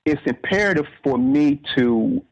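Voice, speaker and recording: A man's voice: mid-range voice, expressive, a little slow. A man talking casually as an author in an interview, reflectively explaining things step by step and restating his phrases. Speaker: author in conversation about writing. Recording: slightly dull, lo-fi radio-like sound.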